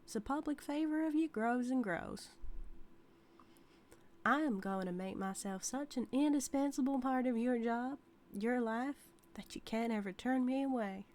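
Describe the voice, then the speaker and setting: A woman's voice: a little high, moderate pace, darkish tone, lightly acted. A woman playing an obsessive, dominant outlaw cowgirl in an audio roleplay, with folksy, drawling, teasing Western talk. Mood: possessive. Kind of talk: dramatic audio roleplay monologue to the listener.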